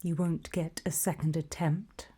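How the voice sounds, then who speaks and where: A woman playing a calm, faintly sinister official in a whispered ASMR roleplay briefing, breathy, measured and politely ominous. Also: low voice, slight background noise. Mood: ominous, matter-of-fact.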